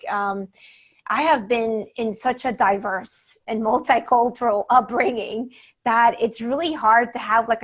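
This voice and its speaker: A woman from Venezuela whose first language is Spanish, talking on a podcast. Her voice sits a little high, and she tells her story in an animated way, laughing often and acting out what people said. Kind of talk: casual storytelling chat.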